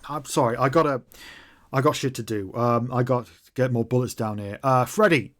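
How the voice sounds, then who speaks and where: A man voicing a gruff male mutant gun runner who talks bluntly, swears a lot and rambles in a tabletop game. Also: mid-range voice, normal speed, natural voice. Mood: chatty.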